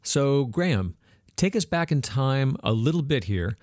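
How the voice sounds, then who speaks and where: A man interviewing a guest, asking clear, guiding questions. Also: mid-range voice, very animated, a little slow, a little mellow.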